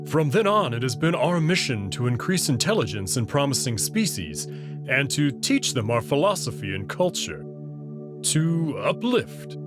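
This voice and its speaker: A man voicing a proud, guarded male alien who explains things slowly and carefully. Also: mid-range voice, big pitch swings, music in the background, lightly acted. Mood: calm. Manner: measured and slow, prideful about his species. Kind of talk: visual novel reading.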